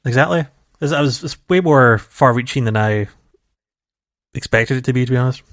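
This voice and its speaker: A man's voice: mid-range voice, brisk, fairly bright tone, no echo. A man chats loosely on a gaming podcast, drifting between thoughts and laughing often. His voice sits in a middle register. The sound is dry and close, with no room around it. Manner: chatty, rambling, laughs easily. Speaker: podcast co-host. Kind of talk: casual chat.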